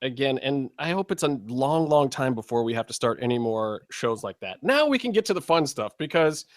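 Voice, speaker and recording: A man's voice: medium pitch, big pitch swings, fairly quick, no echo, clean studio sound. A man hosting a podcast, interviewing in a casual, chatty style.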